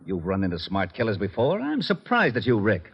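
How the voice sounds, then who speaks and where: Low, blustering police lieutenant. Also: male voice, wildly swooping pitch, brisk, vocal fry, breathy edge, full character voice. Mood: exasperated. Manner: blustering, sarcastic. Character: gruff, exasperated male police lieutenant. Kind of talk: 1940s radio detective acting. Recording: muffled old radio recording.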